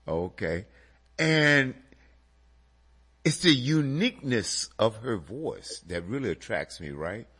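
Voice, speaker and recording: A man's voice: medium pitch, sing-song, slow pace, pauses often, fairly bright tone, slightly rough. A man hosting a casual music radio show, a bit congested and nasal, talking animatedly to his co-host and to listeners he calls folks.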